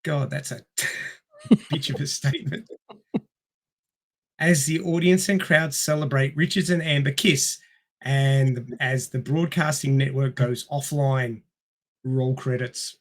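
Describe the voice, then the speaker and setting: A man's voice: medium pitch, fairly even intonation, takes it slowly. A man hosting a casual movie discussion and recapping plots, his throat sore from coughing. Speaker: podcast host.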